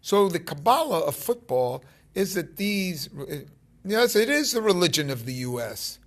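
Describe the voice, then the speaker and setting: A man in his fifties giving an informal spiritual talk to his phone, halting and rambling, with emphatic stresses and a gravelly voice. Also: sits high, sing-song. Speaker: spiritual teacher. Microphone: phone held close at arm's length.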